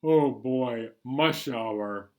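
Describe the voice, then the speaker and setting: A man reading a picture book aloud as a chatty, bemused New York City taxi driver, colloquial and animated. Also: medium pitch, drawn-out words, voice pushed down. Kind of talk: first-person picture book read-aloud.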